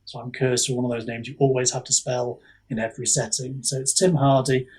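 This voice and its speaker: A man with a husky voice in casual podcast chat as a guest author, rambling and self-deprecating, often halting and repeating himself. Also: mid-range voice, flat delivery, darkish tone.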